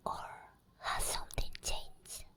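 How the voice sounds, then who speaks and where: A woman playing an obsessed, possessive sleep paralysis demon in an ASMR roleplay, whispering slowly and breathily with coaxing, teasing menace. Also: sharp tone. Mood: controlling, teasing. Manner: slow, deliberate, coaxing and controlling.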